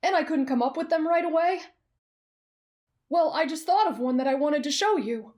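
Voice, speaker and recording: A woman playing a kind, polite, earnest teenage boy in an anime fan roleplay, speaking gently and considerately. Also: sits high, moderate pace, close to an everyday voice. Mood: eager, friendly.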